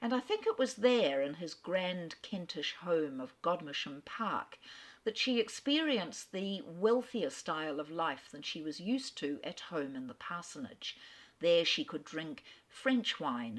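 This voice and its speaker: A woman from Australia telling literary history in an articulate, storytelling lecture manner, with the odd filler. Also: fairly low pitch. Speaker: speaker on famous authors. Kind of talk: lecture-style literary talk.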